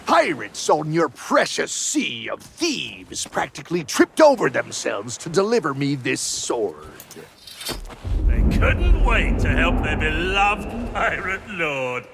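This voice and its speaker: An actor playing a gloating, theatrical undead pirate villain in a video game, with a gravelly voice and a grandiose, mocking drawl. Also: male voice, on the high side, a bit bright, slight background noise, exaggerated voice. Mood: boastful.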